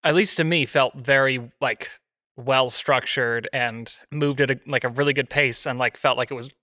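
A man's voice: mid-range voice, fairly even intonation, moderate pace, sharp tone, no room sound, clean studio sound. A man in a casual podcast conversation between writers, speaking in a thoughtful, tentative and agreeable way. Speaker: podcast panel participant, writer.

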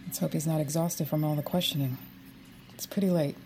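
Brief: a female federal agent, low voice, inquisitive. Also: steady tone, a little fast, over music. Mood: curious. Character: brisk female FBI special agent, probing. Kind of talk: audio drama acting.

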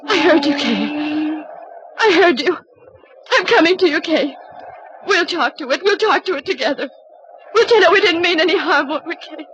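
A woman's voice: very high-pitched, animated, moderate pace, pauses often, noisy background. A woman playing a distraught, unhinged young woman in an old-time radio horror drama, pleading tearfully and repeating herself. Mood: feverish, coaxing. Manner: repetitive, singsong, tearful pleading. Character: distraught young woman, unhinged, calling for her lost friend. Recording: dull vintage radio recording with loud music.

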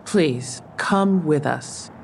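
An actress playing a stern junior police officer in an audio drama. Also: female voice, sits low, extremely slow, creaky, a little hiss or hum, heavily acted. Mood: firm.

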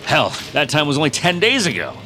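An actor playing a self-important, manipulative tech CEO, smoothly condescending with theatrical pauses, in an audio drama. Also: male voice, a little high, huge pitch swings, music in the background. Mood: quietly menacing.

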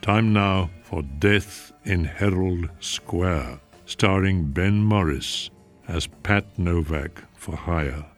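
A man hosting a radio mystery show, reading scripted narration in a measured, dramatic way. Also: low-pitched, faint music behind.

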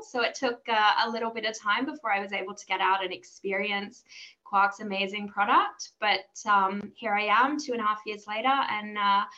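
Female podcast guest, thirties, Australian accent, bright and emphatic delivery, somewhat dull lo-fi recording. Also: mid-range voice. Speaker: interview guest, travel business development manager. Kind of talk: conversational interview.

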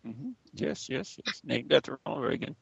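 A man's voice: mid-range voice, animated, moderate pace, gravelly, slightly rough, dry recording, lo-fi sound. A man hosting a radio show, chatting playfully and rambling with fillers. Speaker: host. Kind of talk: jokey radio show chat.

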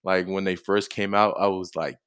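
A man's voice: sits low, fairly even intonation, normal speed, a bit bright, clean tone, dry recording, clean studio sound. A young man from Tonga giving casual, somewhat hesitant interview answers as a college football player. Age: young adult.

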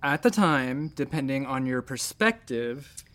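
A man's voice: medium pitch, lively intonation, measured pace, a bit bright, faint music behind. A man playing a self-deprecating, nervy space-station doctor in a sci-fi audio drama, natural, wry and rambling. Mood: guarded.

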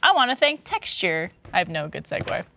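A woman in her late twenties hosting a podcast, teasing her guests with quick questions. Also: on the low side, sing-song, vocal fry, heavy background noise. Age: 20s. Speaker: host. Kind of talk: casual chat.

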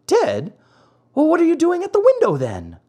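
A man's voice: very high voice, wildly swooping pitch, moderate pace, dark tone, pitched above the performer's own voice, full character voice. A man voicing a desperate wooden puppet boy in a storybook reading, pleading in an urgent, beseeching way. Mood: frantic pleading.

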